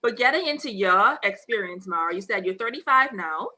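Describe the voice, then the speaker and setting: A young woman hosts a video-call chat from an armchair at home, her voice crisp and bright. She sounds upbeat and conversational, picked up by a webcam mic. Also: medium pitch, lively intonation, a little fast. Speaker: host. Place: indoors at home, seated in armchair.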